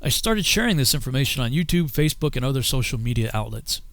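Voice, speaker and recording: A man's voice: mid-range voice, big pitch swings, fast, gravelly, a bit bright, dry recording. Male American narrator, mid-range, scripted personal documentary, dry studio-like sound. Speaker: filmmaker and author narrating his own journey.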